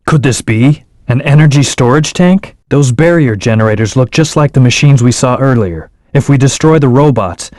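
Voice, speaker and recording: A brooding, detached young hedgehog antihero, voiced by a man for a video game. He speaks in curt, commanding lines at an ordinary middle pitch. The studio sound is very dry. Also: a little mellow, no room sound, close to an everyday voice. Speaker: voice actor. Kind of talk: video game voice acting with gameplay hints.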